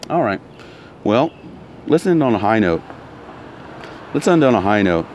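A man's voice: lowish voice, big pitch swings, measured pace, a little mellow, slight background noise. A man ranting in a casual monologue, blunt and heated, repeating himself, his throat still recovering from soreness. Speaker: vlogger.

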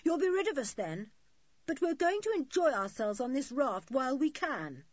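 A woman's voice: high-pitched, very animated, moderate pace, no room sound, muffled recording, pitched above the performer's own voice. A woman voicing a bold, defiant schoolboy who leads a group of children in a storybook, forthright and indignant.

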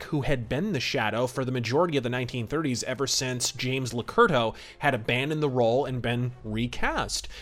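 A man's voice: medium pitch, a bit bright. A man hosting a podcast, giving informal history commentary in an articulate, wry and opinionated way. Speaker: podcast host.

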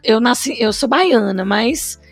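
A woman's voice: a little high, expressive, slow, vocal fry, no room sound, faint music behind. A young Brazilian woman with a northeastern accent tinged by São Paulo speech, chatting casually and rambling with many restarts and "né" tags. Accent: Brazilian Northeastern mixed with São Paulo. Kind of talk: casual conversation.

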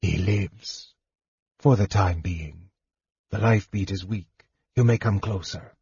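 Man acting a frail young male mage, cool, secretive, quiet, husky, slow, fantasy storybook. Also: low voice, no room sound, lo-fi sound, heavily acted. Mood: faint, cool. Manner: quiet, terse, faint delivery.

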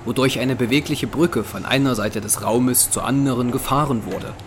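A man's voice: medium pitch, normal speed, noisy background. A man reading scripted narration for an audio drama. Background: music.

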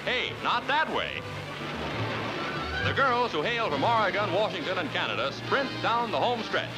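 American male 1940s newsreel announcer, high and animated, jaunty theatrical delivery, vintage soundtrack. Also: normal speed, poor audio. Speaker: newsreel narrator. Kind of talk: 1940s newsreel commentary, scripted narration. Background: loud orchestral newsreel music.

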